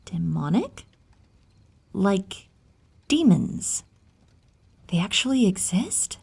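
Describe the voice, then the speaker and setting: A woman playing a centuries-old moon spirit healer in a fantasy audio roleplay, motherly and brisk, with an expressive, hushed delivery. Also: mid-range voice, creaky, breathy edge, exaggerated voice. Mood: surprised.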